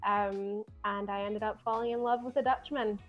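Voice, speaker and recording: A young woman with a softened Glaswegian lilt talks in an earnest, chatty way, laughing easily as she goes. Her voice sits at an ordinary middle pitch. The sound is a little dull and lo-fi, with faint music underneath. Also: steady tone, moderate pace. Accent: softened Glaswegian Scottish. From Scotland. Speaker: podcast guest. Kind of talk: casual chat.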